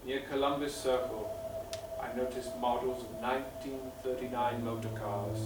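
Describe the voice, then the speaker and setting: Heard from a distance over clear noise in an echoing space, a man plays a solemn survivor in an old-time radio play. His mid-range voice is grave and measured, with a theatrical weight. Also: medium pitch, natural voice. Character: solemn male survivor narrating an alien invasion. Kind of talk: old-time radio drama narration and dialogue. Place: stage performance in a roomy theatre. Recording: echoey, noisy stage captured from afar.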